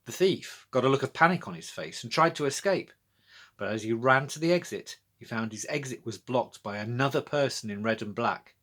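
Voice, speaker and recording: British guy reading his kids story, really up and down intonation, bit husky. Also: medium pitch, animated, fairly quick, a bit bright. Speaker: author-narrator. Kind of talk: scripted children's storybook narration.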